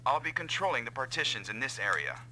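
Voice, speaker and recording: Casting: self-doubting, eager mech pilot for anime-style game, piercingly forceful, faint noise behind. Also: male voice, medium pitch, sing-song, fast talker, low-quality recording. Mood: purposeful. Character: earnest, self-doubting male comrade pilot, eager to help. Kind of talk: dubbed anime-style video game acting.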